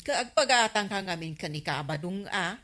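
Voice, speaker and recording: A nosy, teasing village neighbour played by a woman in an Ilocano radio comedy drama. Her pitch swoops about in an animated, prodding way at a middling female height, with an Ilocano accent. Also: moderate pace, natural voice.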